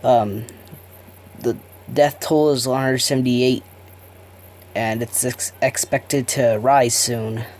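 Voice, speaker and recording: Slow, halting amateur newsman played by a man. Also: medium pitch, fairly even intonation, darkish tone, natural voice. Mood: sheepish, apologetic. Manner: halting, self-conscious, stumbling over words. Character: fumbling, apologetic amateur male TV news reporter. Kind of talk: improvised amateur news report. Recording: noisy homemade recording.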